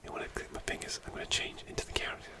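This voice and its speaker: A man whispering an ASMR show-and-tell in a soft, unvoiced whisper, hesitant and full of small fillers, partly from inside a costume helmet. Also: racing. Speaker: ASMR creator.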